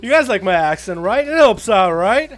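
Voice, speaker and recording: Excitable streamer with a slight Russian accent. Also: male voice, sits high, moderate pace. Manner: excitable, hollers and swears when thanking viewers. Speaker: streamer hosting duels. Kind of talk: live game stream banter.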